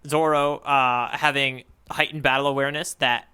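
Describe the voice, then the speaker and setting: Bright, clear-voiced man in thoughtful conversation. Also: medium pitch, flat delivery, moderate pace. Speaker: podcast co-host. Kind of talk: casual manga discussion chat.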